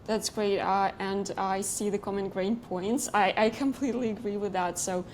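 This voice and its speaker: A woman's voice: medium pitch, fairly even intonation, normal speed, slight background noise. A woman interviewing a founder, asking curious questions and summing up his answers. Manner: curious, sums up the guest's points.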